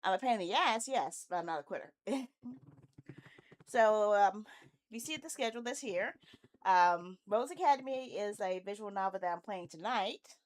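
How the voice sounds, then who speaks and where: A woman's voice: medium pitch, animated, normal speed. Rambling woman livestreamer chatting casually. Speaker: video game livestreamer, host.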